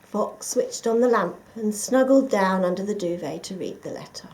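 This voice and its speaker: A woman reading a children's picture book aloud, with expressive, dramatic emphasis for young listeners. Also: medium pitch, moderate pace, rough recording. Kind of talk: expressive scripted story narration.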